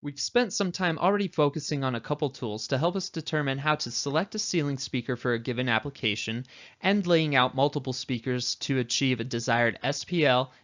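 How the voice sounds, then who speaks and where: Higher-pitched man narrating a tutorial, slightly dull audio. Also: a bit bright. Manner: explanatory, instructional. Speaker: instructor.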